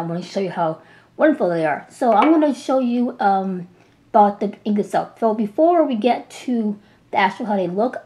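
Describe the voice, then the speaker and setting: A woman's voice: medium pitch, warm tone. Enthusiastic woman with a slight cold. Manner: enthusiastic, gushing, chatty with restarts. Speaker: art supply reviewer. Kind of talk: casual product demo. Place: small indoor room at home.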